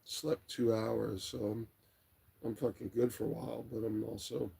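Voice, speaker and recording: A middle-aged man hosts a casual late-night livestream, reading comments and rambling along at his ease. His voice is heavily creaky and rough, with a worn, tired rasp. The tone stays mellow and dark, relaxed and unhurried throughout. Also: fairly low pitch. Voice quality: raspy, gravelly. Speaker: livestream host. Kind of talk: casual chat, reading viewer comments aloud.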